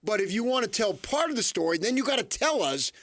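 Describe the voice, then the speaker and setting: A man's voice: high voice, big pitch swings, fast talker, a bit bright, no room sound. A man co-hosting sports talk radio, arguing opinions emphatically and repeating his points.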